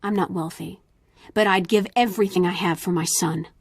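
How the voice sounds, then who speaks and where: An actress playing a proud, determined mother in a video game, earnest and intense with a husky voice. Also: lowish voice, fairly even intonation, brisk, no echo, clean studio sound. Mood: urgent, scornful. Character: proud, determined mother with scorn for her husband.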